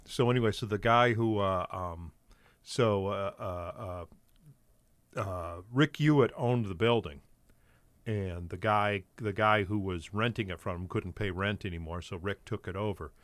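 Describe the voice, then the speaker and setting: Older man, low voice, rambling stories. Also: measured pace, fairly bright tone, no room sound. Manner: rambling storyteller with many fillers and restarts. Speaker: guest comedian. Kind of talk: casual podcast chat.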